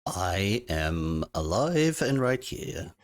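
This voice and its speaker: A man's voice: low voice, big pitch swings, very slow, heavily acted. A man voicing an evasive male imp servant with a scratchy voice, hedging and loyal to his master. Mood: indignant. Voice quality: scratchy, gravelly.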